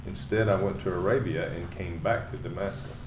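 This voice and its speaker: A man reading scripture aloud at a steady pace in a Bible study. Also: sits low, a little fast. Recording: distant, echoey, tinny line with background noise.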